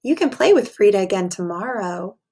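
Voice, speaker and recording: A woman voicing a calm, patient mother giraffe in a storybook, gently giving instructions. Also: medium pitch, moderate pace, breathy edge.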